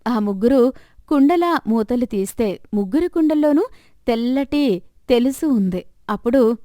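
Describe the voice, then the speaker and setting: A woman's voice: on the high side, expressive, normal speed, mellow, dry recording. Woman narrating, dry close recording. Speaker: storyteller. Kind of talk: scripted moral story narration.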